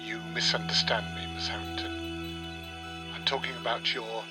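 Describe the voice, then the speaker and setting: A man's voice: mid-range voice, level tone, unhurried, over loud music, lightly acted. A man playing a courteous, evasive older family solicitor in an audio drama, speaking formally and a little hesitantly. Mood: formal, delicate. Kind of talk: naturalistic audio drama acting.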